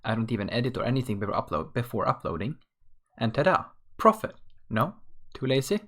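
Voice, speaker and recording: A man's voice: medium pitch, big pitch swings, fairly quick, a bit bright. A young man from Sweden with a Swedish accent, reading a self-mocking solo rant from a script as host of his own show. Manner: offhand, self-mocking, reads script aloud. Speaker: podcast host.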